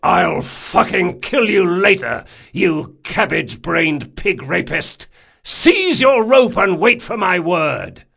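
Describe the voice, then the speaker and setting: A man voicing a gruff, cursing first mate in an audiobook, bellowing drawn-out orders and insults at deckhands. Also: sits high, big pitch swings, pushed and bright, pitched above the performer's own voice, heavily acted. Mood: bullying, furious. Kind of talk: audiobook character acting.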